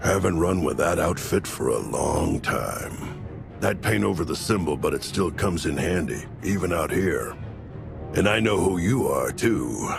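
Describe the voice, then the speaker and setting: Gruff sardonic ex-soldier smuggler boss, super low growly game villain, music under it. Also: male voice, animated, unhurried, exaggerated voice. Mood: sardonic, threatening. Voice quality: deep, gravelly. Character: gruff male ex-soldier arms dealer, menacing and sardonic. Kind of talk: video game voice acting. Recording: music behind the voice.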